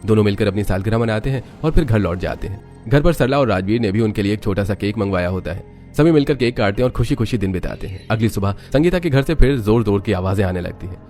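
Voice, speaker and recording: A man's voice: on the low side, expressive, fast talker, hardly any pauses, a little mellow, faint music behind. A man reading scripted, dramatic narration for a family story.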